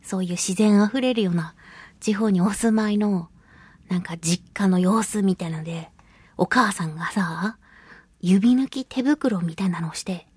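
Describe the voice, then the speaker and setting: A woman hosting a radio show solo, chatting casually and playfully to listeners with drawn-out words and colloquial asides. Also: medium pitch, a little mellow, slightly rough, no room sound. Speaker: radio show host, voice actress.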